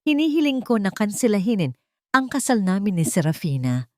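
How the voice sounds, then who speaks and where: A woman's voice: medium pitch, big pitch swings, a little fast, no echo, studio quality, voice pushed up, lightly acted. A woman voicing a determined, devoted young businessman in a romance drama, speaking firmly and earnestly. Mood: resolute. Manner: firm, earnest, declarative.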